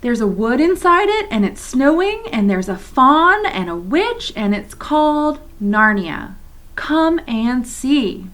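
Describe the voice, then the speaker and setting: A woman reading aloud and voicing an excitable, earnest young girl who insists she is telling the truth. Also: sits high, takes it slowly, voice pushed up, heavily acted. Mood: pleading. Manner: pleading, insistent.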